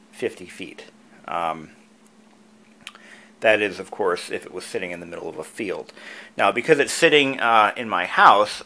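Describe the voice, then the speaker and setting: A man with a fairly low-pitched voice narrates a hobbyist how-to. He talks informally, like a host walking viewers through a project. His explanations are plain and conversational, with casual asides along the way. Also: bright, forceful tone. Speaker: video creator and host, antique radio hobbyist.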